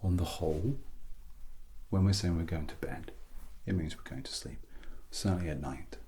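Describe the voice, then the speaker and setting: A British man softly giving an ASMR English lesson as a teacher, breathy and often near a whisper, with an awkward, self-deprecating, gently encouraging manner. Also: low voice, moderate pace. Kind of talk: softly spoken ASMR grammar lesson roleplay.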